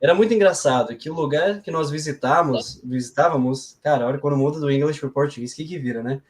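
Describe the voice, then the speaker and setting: A man's voice: a little high, fast talker, slight background noise. A man with a Brazilian accent hosts a live podcast in a casual, conversational style. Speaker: podcast host.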